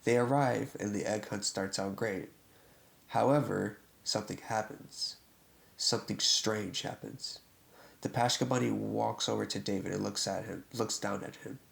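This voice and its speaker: A man with a fairly low voice reads a scripted horror chronicle. Heavy vocal fry runs through his delivery, giving it a rough, creaky grain. He moves deliberately from one short phrase to the next as a narrator laying out events. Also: steady tone.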